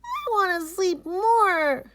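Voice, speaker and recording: A woman voicing an eager, excitable young girl with an earnest, childlike manner in a storybook reading. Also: very high-pitched, slow pace, no room sound, studio quality, pitched above the performer's own voice, heavily acted. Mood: sleepy protest.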